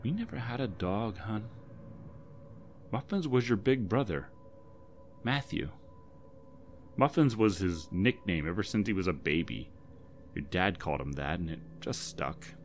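A man voicing a soft-spoken middle-aged mother who pleads and apologizes gently. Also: low voice, lively intonation, unhurried, long pauses, slight background noise. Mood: pleading.

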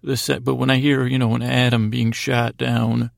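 A middle-aged American man delivers a meandering bedtime monologue. His voice is full of gravelly fry and stays in a narrow, even range. He speaks haltingly, digressing and leaving thoughts unfinished, in a close, dry recording. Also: medium pitch, dry recording. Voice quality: gravelly, creaky voice. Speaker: podcast host.

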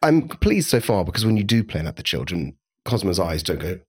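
A man's voice: low voice, sing-song, fairly quick, darkish tone, slightly rough, no echo, clean studio sound. A British man co-hosting a podcast in casual chat, with a theatrical, camp turn of phrase. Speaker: podcast co-host.

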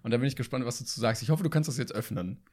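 A man's voice: medium pitch, racing, darkish tone. A young man co-hosting a casual podcast, joking and laughing often. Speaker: podcast co-host. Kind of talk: casual chat.

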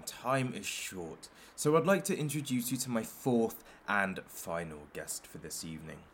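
An actor playing a menacing, sardonic talk show host in a satirical audio drama, with a theatrical, taunting manner. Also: male voice, lowish voice, slight background noise. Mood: grand showmanship.

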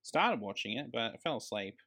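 A man's voice: medium pitch, big pitch swings, a little fast, creaky, bright, forceful tone. Enthusiastic Australian man chatting casually. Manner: enthusiastic, given to emphatic grand claims. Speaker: podcast co-host. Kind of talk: casual film discussion.

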